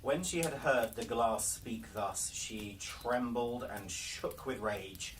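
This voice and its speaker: A man narrates a fairy tale with theatrical, carefully measured phrasing and a medium pitch. The recording is noisy and sounds slightly distant from the microphone. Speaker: library storyteller. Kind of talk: dramatic fairy-tale read-aloud.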